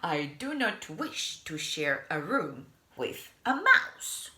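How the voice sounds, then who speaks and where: A woman's voice: fairly low pitch, huge pitch swings, slow pace, bright, forceful tone, reverberant, heavily acted. A woman voicing a sleepy, grumpy male dinosaur staying at a hotel, grumbling and fussy in his complaints.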